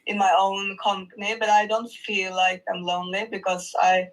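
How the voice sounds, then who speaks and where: A woman's voice: mid-range voice, flat delivery, normal speed, poor audio. A woman chatting casually as a participant in an online group call. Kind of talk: casual chat.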